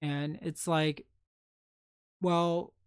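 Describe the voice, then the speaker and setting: A young man hosting a late-night livestream, chatting in a soft, calm, unhurried way. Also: a little high, clear, resonant tone, no echo, clean studio sound. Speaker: livestream host.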